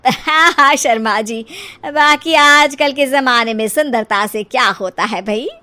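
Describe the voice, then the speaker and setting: Woman acting a high-voiced, boastful cartoon mother-in-law. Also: measured pace, bright, forceful tone. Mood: defensive. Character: proud, doting middle-aged mother-in-law, image-obsessed gossip.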